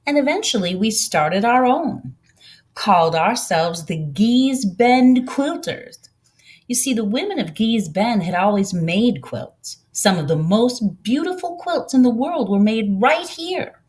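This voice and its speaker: A gentle older woman from a storybook , acted out by a female reader, recalls her community with quiet pride. She speaks earnestly and takes her time, like someone sharing a memory. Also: mid-range voice, very animated, measured pace. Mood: proud, earnest.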